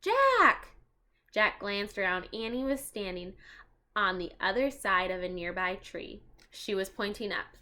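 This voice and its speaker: A woman doing an expressive storybook read-aloud for children, addressing listeners as friends and explaining as she goes. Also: mid-range voice, moderate pace.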